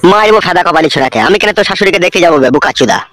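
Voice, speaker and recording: A man's voice: high voice, animated, racing, fairly bright tone, dry recording. A man voicing an irritable, foul-mouthed older cartoon father with a blunt, scolding manner. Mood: indignant. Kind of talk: crude comedy cartoon dubbing.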